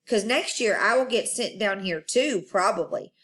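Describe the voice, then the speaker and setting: A woman voicing an excitable, chatty little kindergarten girl in a children's storybook reading. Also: medium pitch, normal speed, close to an everyday voice. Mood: eager. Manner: exclamatory, childlike phrasing.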